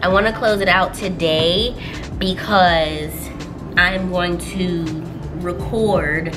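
A woman's voice: fairly low pitch, fairly even intonation, takes it slowly, music in the background. A woman with a stuffy cold voice, chatting casually and laughing often as she works through her budget planner on camera. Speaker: budgeting vlogger. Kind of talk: casual chat while working in a planner. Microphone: camera overhead on a desk.

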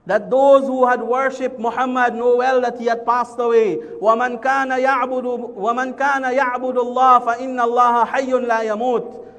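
A man's voice: very high-pitched, fairly bright tone. A man in his thirties from Guyana gives a sermon as imam from a pulpit in a large mosque, explaining each Arabic term in English and repeating phrases for emphasis. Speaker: imam giving a Friday sermon. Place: large mosque hall with a crowd seated on carpets. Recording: long hall reverb.

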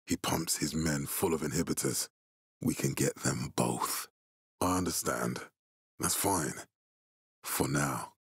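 An actor playing a stern, resolute, fatherly militia leader in a video game, speaking with measured, deliberate authority in a husky voice. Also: a bass voice, dry recording, clean studio sound, heavily acted. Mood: grave, measured.

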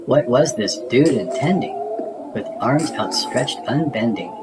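A man reading a spooky rhyming children's story aloud in a theatrical, deliberate way, as a storybook narrator. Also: medium pitch, animated, heavy background noise.

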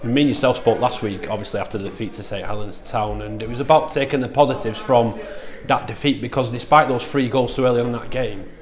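A man's voice: medium pitch, fast talker, few pauses. A man, a club TV interviewer asking chatty post-match questions that often end in tag questions.

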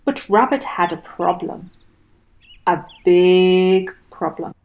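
A woman reading a picture book aloud to children as an expressive storyteller, leaning deliberately on key words. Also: on the low side.

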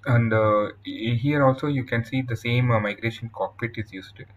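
A man with an Indian accent presenting a software tutorial in a halting, explanatory way over slides. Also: medium pitch, highs cut off. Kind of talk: informal scripted-outline tutorial narration over slides.

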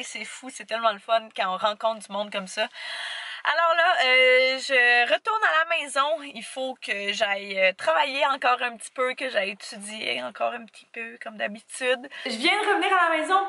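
Young Québécoise woman vlogger, bright-ish voice, casual chat, roomy sound with faint hiss. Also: high-pitched. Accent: Quebec French. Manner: chatty, relaxed, fillers like "fait que". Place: home kitchen and parked car. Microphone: handheld camera at arm's length.

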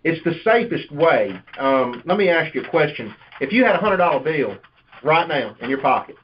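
A man's voice: on the high side, sing-song, moderate pace, a little hiss or hum. A man coaching sales in a conversational, persuasive and explanatory way over a conference call line. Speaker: insurance sales manager and trainer. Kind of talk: conversational sales coaching with role-play pitches. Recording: dull, lo-fi conference call audio.

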